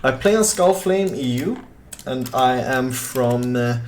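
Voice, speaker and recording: A man's voice: mid-range voice, expressive, slow, slight background noise. A young man from southern Sweden with a Swedish accent, a game streamer chatting casually and rambling with lots of fillers while he plays. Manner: rambling, many fillers and restarts, easygoing. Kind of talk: casual chat while gaming and reading chat.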